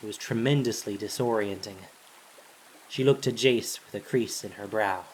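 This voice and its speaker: A man's voice: fairly low pitch, normal speed, a little hiss or hum. A man reading scripted fantasy narration in a dramatic storytelling style, as the narrator of an audio drama.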